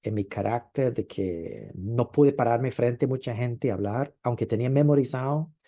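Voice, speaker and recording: A man preaching a sermon in a thoughtful way, pausing often and stretching out words he wants to stress. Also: mid-range voice, warm tone. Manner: thoughtful, with frequent hesitations and drawn-out stresses.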